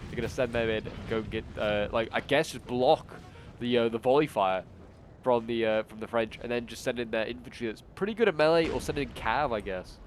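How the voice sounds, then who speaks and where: A man's voice: medium pitch, fairly quick, a little hiss or hum. A man with a stuffy, bunged-up voice from a cold, chatting casually through a strategy game battle and thinking aloud with many fillers. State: congested with a cold. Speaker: game commentator. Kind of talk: casual gameplay commentary. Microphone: new desktop microphone. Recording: game battle sounds behind. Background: gunfire and artillery from the game.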